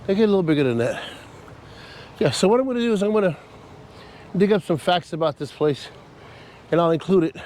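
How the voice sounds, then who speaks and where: A man's voice: high voice, expressive, fairly quick, long pauses, darkish tone, slight background noise. A man with a nasal voice from a cold, chatting casually and laughing at himself while walking through an outdoor park for his travel vlog. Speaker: travel vlogger. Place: outdoor park by a causeway bridge.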